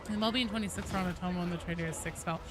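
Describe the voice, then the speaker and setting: A woman hosting a game livestream, chatting casually and answering viewers in an animated, playful, conversational way. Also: fairly low pitch, racing, a little hiss or hum.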